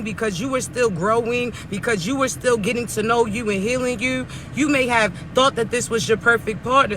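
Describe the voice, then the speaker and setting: A raspy-voiced man sits high in his range as he talks viewers through a spiritual message. He speaks in an earnest, coaching way and keeps pulling listeners back in. Car and street sounds run clearly behind him outdoors. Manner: earnest, coaching, repeats "chosen ones" and "see". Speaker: spiritual reader. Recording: outdoor traffic noise behind.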